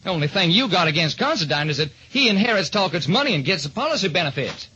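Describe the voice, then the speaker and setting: A man's voice: sits high, very animated, quick pace, pushed and bright, slightly rough, voice pushed up, full character voice. A man playing a cocksure, hasty homicide lieutenant with brash, snappy talk in an old radio detective drama. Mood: needling, smug. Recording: dull old-time radio recording.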